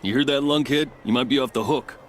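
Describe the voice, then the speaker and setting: An actor playing a steady, authoritative captain of a border outpost, speaking in a measured, formal, earnest way in a video game. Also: male voice, medium pitch, slight background noise, natural voice. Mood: stern. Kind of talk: video game dialogue acting.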